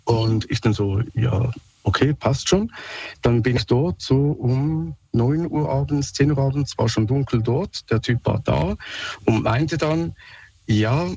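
Male Swiss German caller, medium pitch, informal hesitant storyteller, slightly muffled phone audio. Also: measured pace, dark tone. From Switzerland. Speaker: radio caller, security guard. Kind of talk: casual anecdote telling. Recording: dull band-limited phone call.

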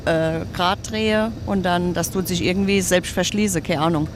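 A man chatting casually as a tour passenger being interviewed on a coach, explaining things a little haltingly. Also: high voice, fairly even intonation. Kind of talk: casual chat. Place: inside a moving coach. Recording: clear vehicle noise on location.

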